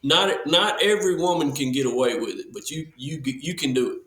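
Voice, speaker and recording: A man's voice: on the high side, animated, a little fast, a loud crowd. A man in his forties, a podcast guest, chatting casually in an easygoing, folksy way with frequent restarts. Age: 40s. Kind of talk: casual chat. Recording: echoey room.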